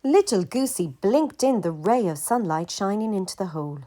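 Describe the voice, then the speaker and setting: A woman narrating a children's picture book aloud in a gentle, deliberate manner. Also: medium pitch, lively intonation.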